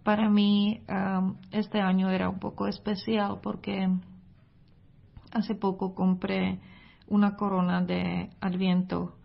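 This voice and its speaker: A woman's voice: medium pitch, flat delivery, takes it slowly, gravelly, dull audio. A woman who grew up in Czechia, whose first language is Czech, gives a Christmas devotional message in careful, hesitant, non-native Spanish. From Czech Republic. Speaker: missionary preacher.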